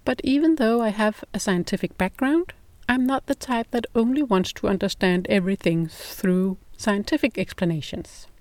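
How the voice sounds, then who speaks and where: A middle-aged woman from Denmark, speaking English with a Danish accent as a non-native speaker, hosting a podcast in a calm, measured, scripted narration. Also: medium pitch, no room sound. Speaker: podcast host.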